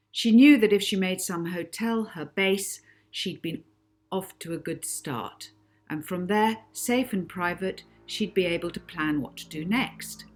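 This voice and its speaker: Low-pitched woman reading a children's novel. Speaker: author reading own novel. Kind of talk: scripted children's audiobook narration.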